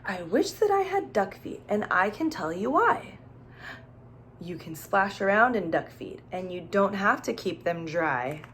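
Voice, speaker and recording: A woman's voice: mid-range voice, sing-song, measured pace, a bit bright, a little hiss or hum. A woman teacher reading a children's storybook aloud, expressively, with questions and asides addressed straight to young listeners.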